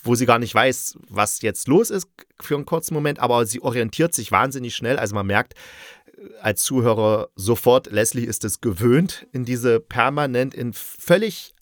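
A man's voice: mid-range voice, moderate pace, dry recording, studio quality. A middle-aged man giving a casual, unscripted review in a chatty, opinionated way. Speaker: radio play critic and podcast host.

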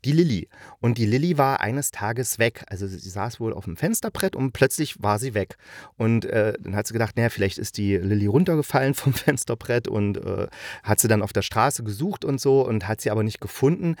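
A man's voice: lowish voice, lively intonation, a little fast, a little mellow, no echo, studio quality. A man from eastern Germany hosting a review, talking in a casual, chatty and enthusiastic way. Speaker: audio drama review host.

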